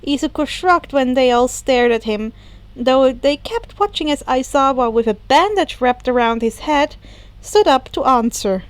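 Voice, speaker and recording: Female audiobook narrator, high voice, scripted story reading, very dry close sound. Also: expressive, a little slow, no echo. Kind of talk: scripted fan fiction narration.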